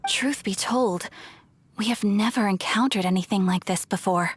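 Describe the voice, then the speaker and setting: A woman's voice: medium pitch, normal speed, slight background noise, close to an everyday voice. A woman voicing a polite, composed guild receptionist in a video game, speaking formally and evenly. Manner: even, formal, courteous. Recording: game audio with faint music.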